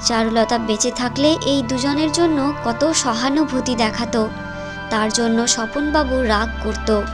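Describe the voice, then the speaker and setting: A woman narrator reading a scripted story in a storytelling style. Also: sits high.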